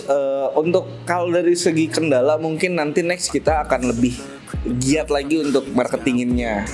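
A young man, the tournament organizer, chatting casually in an interview with lots of fillers, indoors at a cafe. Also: mid-range voice. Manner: relaxed, chatty, many fillers and laughs. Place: cafe stage in front of a decorated wall, indoors.

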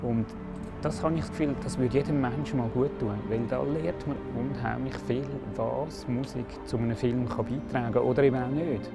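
A middle-aged man reflects in an interview. His voice is gravelly and mid-pitched, and loud music plays beneath him. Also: steady tone, normal speed, hardly any pauses.

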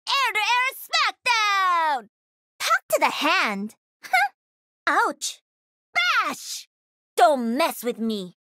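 Young female gamer mech pilot, lively swooping intonation, dry studio sound, cocky, playful, punchy video game lines. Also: extremely high pitch, drawn-out words, long pauses, no room sound, full character voice. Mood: cocky, combative.

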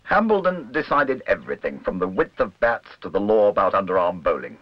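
A man's voice: on the low side, big pitch swings, fairly quick, dry recording. A man reading scripted documentary narration. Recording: muffled old film soundtrack with music. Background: music.

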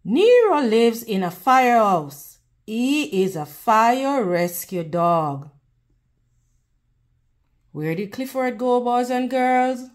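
A woman reads a picture book aloud for young children. Her voice sits a little high and swings widely in pitch. She goes very slowly and deliberately, teacher-style, leaving pauses around her questions. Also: sing-song. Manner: slow, deliberate, teacherly, pausing to ask questions. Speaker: children's read-aloud host.

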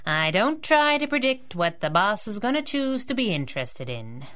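An actress playing a tough, sassy young masked heroine, wry and bossy, in a radio drama. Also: female voice, medium pitch, sing-song, moderate pace, fairly bright tone. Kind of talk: 1930s-style radio drama acting.

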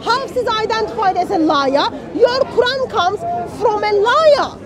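A middle-aged woman with a Turkish accent argues insistently in a crowded park, firing the same questions again and again. Her voice sits very high, and dense crowd chatter surrounds her. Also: animated, unhurried. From Turkey. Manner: insistent, interrogating, repeats questions. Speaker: street debater. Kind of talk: heated outdoor debate. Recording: loud crowd chatter around.

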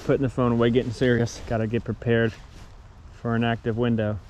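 A man with an American accent narrating his fishing trip on a creek bank, recorded on a chest-mounted action camera, relaxed and chatty but excitable when a fish bites. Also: medium pitch, a little fast, a little hiss or hum. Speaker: fishing vlogger. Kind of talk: casual outdoor narration. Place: creek bank outdoors in winter.

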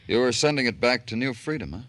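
An actor playing a world-weary, relentless police detective in a radio noir drama, clipped and deadpan. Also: male voice, medium pitch, quick pace, no echo, natural voice. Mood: probing, cool. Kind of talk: old-time radio noir narration and dialogue.

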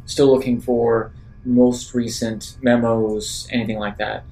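A man's voice: medium pitch, flat delivery, unhurried, warm tone. A man taking his turn as a player in a tabletop roleplaying game, stating actions and asking questions casually.

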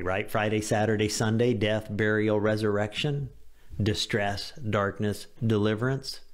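A middle-aged American man speaks as a pastor giving a devotional. His voice has a gravelly creak, and he phrases things earnestly and deliberately, leaning on key words. He is close to a studio microphone in a small room. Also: mid-range voice, darkish tone. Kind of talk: devotional sermon to camera. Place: small home office, indoors. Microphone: close studio microphone on a boom arm.

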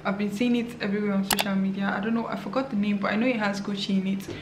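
A woman's voice: medium pitch, fairly even intonation, racing, noisy background. A young woman in her twenties chatting casually to camera in a vlog, often laughing and talking straight to her viewers. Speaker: vlogger. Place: apartment indoors, later outdoors in a city. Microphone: handheld camera at arm's length.

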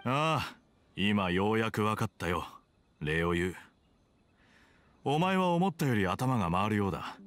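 An actor playing a stern, conflicted soldier with hidden kindness, speaking in a measured, blunt way in a video game dub. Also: male voice, fairly low pitch, sing-song, a bit bright, no room sound, soft background music. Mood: calm, reflective. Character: stern, conflicted male soldier, weary but secretly kind. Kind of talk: Japanese game dub acting.

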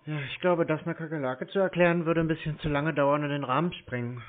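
A man's voice: on the high side, fairly even intonation, fast. Curt man on a tinny phone line. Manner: curt, pedantic, unimpressed. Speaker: scam-baiter taking scam calls. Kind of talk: phone conversation.

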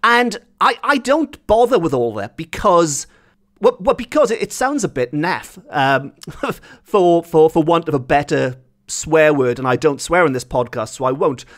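A man's voice: a little high, expressive, moderate pace, fairly bright tone. A man hosting a podcast in a casual, chatty, self-deprecating way. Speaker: podcast host.

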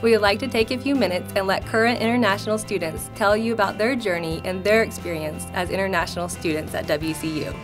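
A woman's voice: medium pitch, fast, few pauses. A woman in her thirties giving a polished, upbeat scripted promotional narration for a university's international services. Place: university building lobby. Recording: music bed underneath.

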